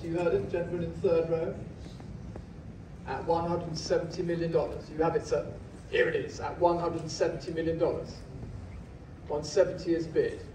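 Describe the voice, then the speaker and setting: A man's voice: a little high, noisy background. Male auctioneer calling bids in an echoey hall. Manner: rhythmic, measured bid-calling. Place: large packed auction hall. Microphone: podium mic, filmed by phone from the audience. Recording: distant phone recording in echoey hall. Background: room ambience.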